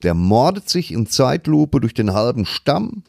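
A man's voice: mid-range voice, very animated, a little slow, creaky, a little mellow, no echo, studio quality. A man talking casually about films as a podcast co-host, explaining things in an opinionated way. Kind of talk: casual film discussion.

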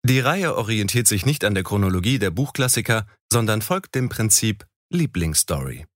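Host, man, low and lively voice, clear announcing and interview questions, dry mic. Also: moderate pace, no room sound. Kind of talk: scripted intro and interview questions.

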